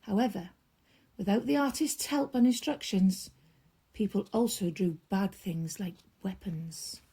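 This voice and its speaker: A woman storyteller reading a children's bedtime story aloud, expressively, with drawn-out emphasis and hushed drops. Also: medium pitch, breathy edge.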